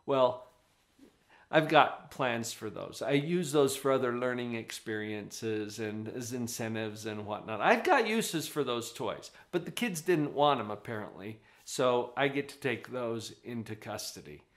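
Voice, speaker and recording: Brief: man, mid-pitched, parenting coach, chatty and emphatic, office setting. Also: measured pace, a bit bright. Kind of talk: direct-to-camera parenting advice.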